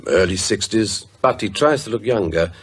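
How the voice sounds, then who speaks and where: An actor playing an irritable, self-assured man with a brisk, dryly sardonic manner in a radio drama. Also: on the low side, husky. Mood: disdainful. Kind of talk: naturalistic radio drama acting.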